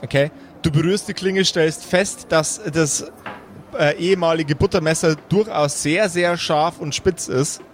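A man narrating an improvised tabletop game as game master, haltingly searching for his words. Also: on the high side, slight background noise.

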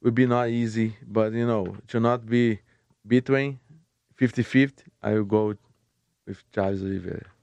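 A young man with a Brazilian Portuguese accent, a podcast guest speaking halting English and often restarting words. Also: lowish voice, fairly even intonation, creaky, darkish tone, dry recording. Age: young adult. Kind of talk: casual interview chat.

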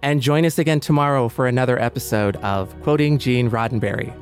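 Male co-host, average pitch, friendly podcast delivery for chat and announcements. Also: fairly quick, soft background music.

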